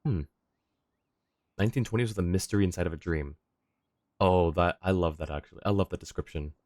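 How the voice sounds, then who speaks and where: A man's voice: low voice, fairly quick, a little mellow, no room sound, clean studio sound. A man chatting casually on a game livestream, easygoing and polite, thinking aloud as he replies to viewers. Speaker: game streamer.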